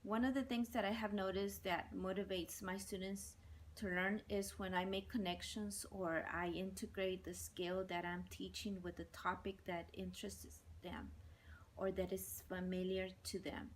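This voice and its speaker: Woman, 40s, Spanish native speaker, measured and deliberate, mid pitch, faint background ambience. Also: level tone, slight background noise. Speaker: bilingual ESL teacher. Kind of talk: interview answers.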